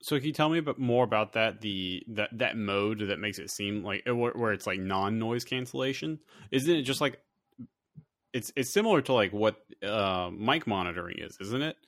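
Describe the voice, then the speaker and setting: Low-voiced man chatting casually. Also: lively intonation, moderate pace, a bit bright. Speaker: podcast co-host asking questions. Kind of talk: casual chat.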